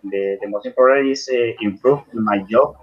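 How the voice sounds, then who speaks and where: Slow-searching Colombian man, muffled call. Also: mid-range voice, slightly rough. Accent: Colombian Spanish. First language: Spanish. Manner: slow, searching, many fillers. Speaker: primary school English teacher, exam candidate. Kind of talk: halting second-language answers. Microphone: video call.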